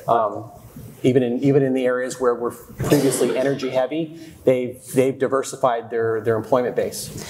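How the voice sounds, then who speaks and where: A middle-aged man speaking as a real estate expert on a conference panel, measured and analytical, wearing a lapel mic on a large hall stage. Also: medium pitch, flat delivery, background noise.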